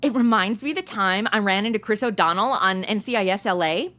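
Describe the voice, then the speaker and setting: A woman's voice: mid-range voice, fairly quick, fairly bright tone, close to an everyday voice. A woman playing a flippant, chatty young woman with a sassy, irreverent way of talking in a comedy audio drama. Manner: sassy, irreverent, modern slang.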